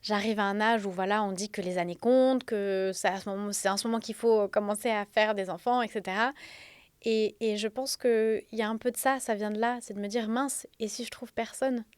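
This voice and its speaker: A woman in her thirties hosting a spiritual self-help podcast, speaking in an earnest, confiding way with soft fillers. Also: medium pitch, fairly even intonation, fairly quick, clear, resonant tone, dry recording. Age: 30s. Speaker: podcast host.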